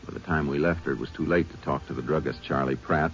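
A deep, steady Texas Ranger. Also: male voice, slight background noise. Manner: calm, measured, matter-of-fact. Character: steady Texas Ranger, calm lawman narrator. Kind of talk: 1950s radio drama narration and acting. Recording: dull lo-fi old radio recording with music.

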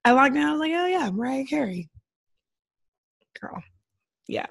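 A woman in her thirties with a slightly hoarse voice, co-hosting a podcast in a casual, joking, giggly way. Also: sits high, big pitch swings, moderate pace. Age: 30s. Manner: giddy, giggly. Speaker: podcast co-host. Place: remote video call.

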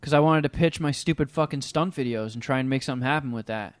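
Profane, self-mocking podcast host. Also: male voice, mid-range voice, fast talker, smooth, no echo. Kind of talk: casual podcast chat.

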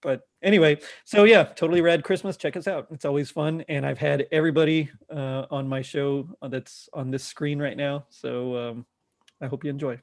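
A man's voice: fairly high pitch, fairly even intonation, normal speed. A man hosting a podcast, chatting casually and jovially with a group. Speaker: podcast host.

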